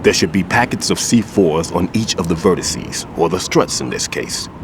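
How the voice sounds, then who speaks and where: A deep-voiced old bomb expert. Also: male voice, expressive, moderate pace, noisy background. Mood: instructive. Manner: patient, lecturing, reflective. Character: older male bomb-disposal expert, rueful mentor. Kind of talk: game cutscene acting. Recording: music under the voice.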